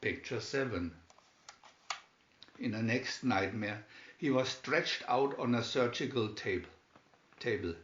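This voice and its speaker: Male storybook narrator, slow pace, dramatic read-aloud delivery, roomy echo, muffled sound. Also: medium pitch, fairly bright tone, slight background noise. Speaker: storybook reader. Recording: dull, echoey room recording.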